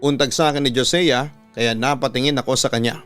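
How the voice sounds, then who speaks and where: A man's voice: mid-range voice, brisk, fairly bright tone, clean tone. A Filipino man, a radio announcer, reading out a confessional letter as a dramatic storyteller. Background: background music.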